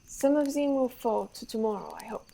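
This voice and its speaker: Young woman as vain French prince for radio Shakespeare. Highish, soft-edged voice, declamatory, besotted with his horse. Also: lively intonation, normal speed, creaky, poor audio. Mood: impatient, bragging. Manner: grandiose, declamatory. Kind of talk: radio theater Shakespeare acting.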